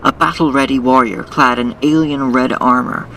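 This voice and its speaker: A man acting as narrator of a superhero audio drama, reading scripted scenes in a deliberate, dramatic way. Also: mid-range voice, fairly even intonation, pushed and bright, recorded from a distance, a little hiss or hum, rough recording.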